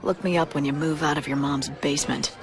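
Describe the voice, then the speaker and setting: A woman plays a haughty young femme fatale in a game cutscene. Her low voice is cool and teasing, with music clearly behind it. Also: steady tone, fairly quick, natural voice. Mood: teasing disdain. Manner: teasing, dismissive. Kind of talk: video game voice acting.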